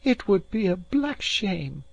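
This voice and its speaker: A man voicing a young, soft-hearted Scottish serving maid, plaintive and sympathetic, in an audiobook reading. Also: on the high side, sing-song, takes it slowly, lo-fi sound, voice pushed up, exaggerated voice. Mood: tearful.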